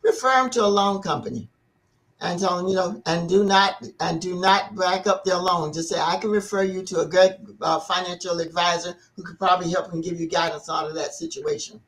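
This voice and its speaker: A man's voice: high voice, animated, fairly quick, a bit bright, rough recording. An older man on a talk show panel giving firm, emphatic advice in a casual way.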